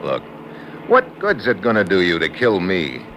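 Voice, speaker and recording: A man's voice: sits low, huge pitch swings, unhurried, a bit bright, dull audio, slight background noise, full character voice. An American man playing a tough, wisecracking secret agent in 1950s radio acting, with a wry, hard-boiled manner. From United States. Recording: old radio broadcast with music behind.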